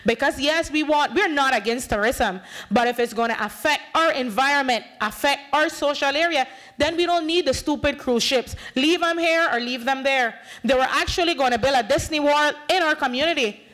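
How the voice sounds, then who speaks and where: A woman's voice: sits high, moderate pace, few pauses, a bit bright. A woman in her thirties from the Bay Islands of Honduras, with a Bay Islands English accent, giving an impassioned, emphatic talk at a conference podium and acting out conversations as she speaks. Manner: passionate, emphatic, gestures widely, acts out dialogue. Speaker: panel speaker, community activist. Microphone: podium microphone.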